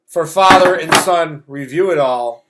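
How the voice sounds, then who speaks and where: A man's voice: a little high, a little slow, very noisy. A middle-aged man co-hosting a movie review, ranting casually in a blunt, dismissive, sarcastic way. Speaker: co-host of a father and son movie review show. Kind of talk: casual film review rant.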